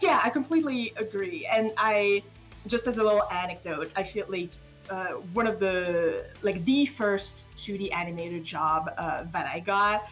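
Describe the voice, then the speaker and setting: A woman's voice: on the low side, a bit bright. A woman chatting casually as a guest, with a giggly, self-deprecating and wry way of talking. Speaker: game developer and animator, guest. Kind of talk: casual conversational discussion.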